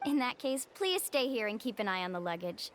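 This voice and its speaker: A woman's voice: fairly high pitch, very animated, fairly quick, a little hiss or hum. A woman playing a spirited, friendly young guide in a video game, speaking in an upbeat, confident way.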